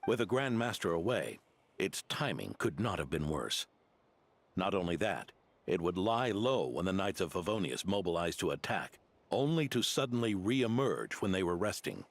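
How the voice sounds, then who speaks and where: A grave old knight commander from a fantasy game. His voice is very low and gravelly, with wide swings of intonation under a solemn, measured delivery. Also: sing-song, no echo, exaggerated voice. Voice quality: deep, gravelly. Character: grave, weathered veteran knight commander, older man. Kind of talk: video game voice acting.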